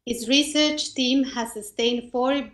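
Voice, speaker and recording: A woman with a fairly high voice hosts an online seminar from a very echoey room. She reads a formal introduction carefully, word by word, occasionally stumbling. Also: steady tone, slow pace, a bit bright. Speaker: seminar host introducing the speaker. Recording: echoey room on video call.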